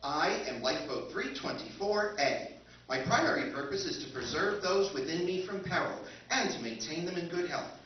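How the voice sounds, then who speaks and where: A talking robot lifeboat, acted by a man on a radio drama stage. It is formal and protective, with a highish, swooping delivery. The sound is very echoey, as if in a big space. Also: lively intonation. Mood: solemn, reassuring. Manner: formal, announcing, measured. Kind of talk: stage recreation of old-time radio drama. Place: convention stage. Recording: dull, echoey stage recording, distant, noisy.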